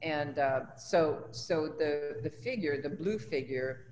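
A woman's voice: low voice, slow, a little mellow. A woman in her seventies from Tunisia, an artist talking conversationally about her own life and work in an interview. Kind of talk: conversational personal recollection. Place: indoors in an art studio. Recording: reverberant room, faint background noise.

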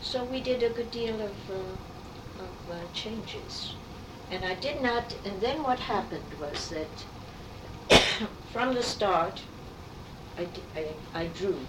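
A woman in her eighties, raised speaking French, telling her life story in an unhurried, reminiscing way for an oral history interview. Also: medium pitch. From New York City. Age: 80s. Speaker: artist interviewee. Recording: roomy, distant, noisy tape.

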